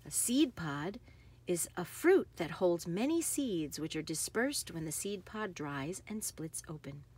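Animated, slow-paced woman reading a picture book. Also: medium pitch, husky. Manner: animated, emphasizing action words. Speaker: read-aloud host. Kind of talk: expressive read-aloud of a children's picture book.

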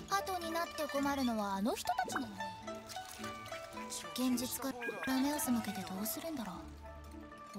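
A woman voicing a playful, pushy teenage girl funeral director in a Japanese anime game, with animated sales patter. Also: sits high. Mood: resigned. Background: game music.

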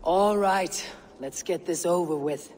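A woman's voice: on the low side, takes it slowly, a little mellow, a little hiss or hum. An actress playing a stern young female Viking warrior in a video game, terse and disapproving.

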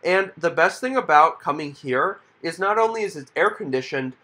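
A man's voice: fairly high pitch, expressive, moderate pace, pushed and bright. A man hosting a casual vlog, talking to viewers in an upbeat, chatty way as he strolls through a shopping mall. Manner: upbeat, chatty, addresses viewers as you guys. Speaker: vlog host.

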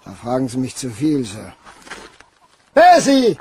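A man's voice: mid-range voice, wildly swooping pitch, unhurried, dark tone, a little hiss or hum. A man playing a plain-spoken, obliging villager in a German crime radio play, with a folksy, blunt way of talking. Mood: chatty, obliging.

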